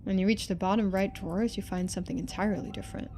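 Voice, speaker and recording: A woman's voice: mid-range voice, fairly even intonation, fast talker, warm tone, faint music behind. A woman streaming a game, reading its narration aloud and adding casual commentary.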